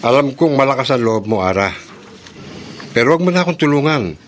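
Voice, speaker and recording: An actor with a Filipino accent playing a grave, protective scientist brother in a horror radio drama. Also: male voice, medium pitch, animated, brisk, slight background noise, close to an everyday voice. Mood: grave, determined.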